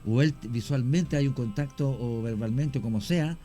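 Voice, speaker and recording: A man, a radio guest slowly telling a paranormal story with many pauses. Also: mid-range voice, warm tone, faint music behind. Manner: slow, halting storyteller. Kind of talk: radio talk show storytelling.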